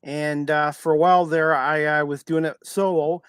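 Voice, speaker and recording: Higher-pitched man hosting a casual sports podcast. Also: flat delivery, moderate pace, smooth, dry recording, clean studio sound. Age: 50s.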